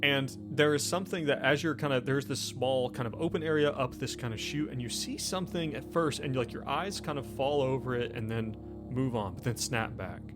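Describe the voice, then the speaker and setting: A man running a tabletop game, improvising the narration haltingly and repeating himself for suspense. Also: mid-range voice, over music. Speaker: game master.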